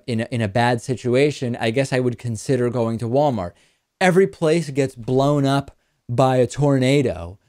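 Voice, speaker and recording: A man born in Argentina whose first language is Spanish, hosting a talk show in a relaxed conversational way and answering listener questions. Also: mid-range voice. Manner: conversational, repeats words when thinking. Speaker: host. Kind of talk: casual chat, reading listener questions.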